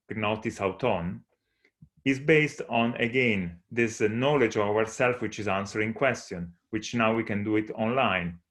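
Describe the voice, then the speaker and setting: A man with an Italian accent presents a talk in English, his second language. His voice sits a little low, and he explains ideas earnestly, now and then restarting a phrase. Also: fairly bright tone. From Italy. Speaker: speaker. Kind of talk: conference talk with slides.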